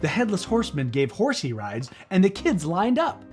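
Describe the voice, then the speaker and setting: A man's voice: high-pitched, wildly swooping pitch, moderate pace, faint music behind. A man reading a children's book aloud as theatrical narration, dramatic and playful.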